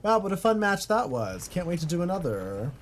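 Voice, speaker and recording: A man's voice: fairly quick, faint music behind. A young man streaming a game, chatting casually with his viewers, swearing and reading chat aloud. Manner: chatty, swears, reads chat aloud, swings between flat mutters and high squeals.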